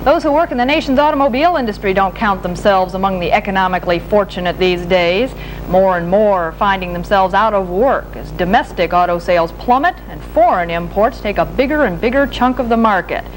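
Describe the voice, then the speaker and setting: An American woman anchors a television newscast, reading from a script in a formal, measured way. Her voice sits at a medium pitch. The recording is an archival late-seventies broadcast tape with faint hum and noise. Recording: noisy archival 1970s broadcast tape, humming.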